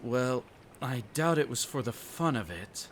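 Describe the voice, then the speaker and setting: A man playing an injured young stranger in an audio roleplay, polite and soft-spoken, with hesitant, rather formal speech. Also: medium pitch, animated, measured pace, a little hiss or hum. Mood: dry, subdued.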